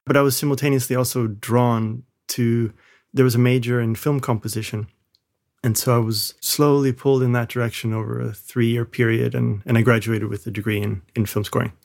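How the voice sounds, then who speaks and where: A man's voice: mid-range voice, flat delivery, brisk, creaky, a little mellow. A man who grew up in Malaysia, a film composer interviewed from his home studio, answering casually and reflectively with frequent restarts.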